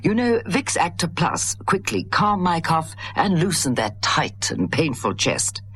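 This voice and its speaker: Bloke doing a friendly radio ad, persuasive, lively pitch. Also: male voice, unhurried, breathy edge. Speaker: advert voice. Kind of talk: radio advert testimonial.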